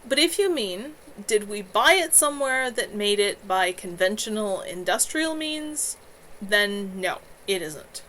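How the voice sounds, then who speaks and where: A woman reading a novel aloud, voicing a calm, careful teenage mage who explains things in a measured way. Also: mid-range voice, a little hiss or hum.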